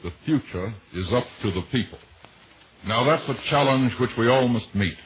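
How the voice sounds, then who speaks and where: A man's voice: mid-range voice, lively intonation, moderate pace. A man making an earnest, emphatic scripted appeal in a 1940s radio style. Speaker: radio announcer making a war bond appeal. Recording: tinny, noisy vintage 1940s radio.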